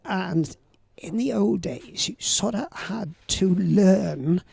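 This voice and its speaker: A man in his seventies with a Welsh accent and a croaky voice, telling stories casually as a guest, rambling with lots of fillers. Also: high-pitched, darkish tone. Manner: rambling, halting, with many fillers. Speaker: guest surfer. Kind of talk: casual storytelling.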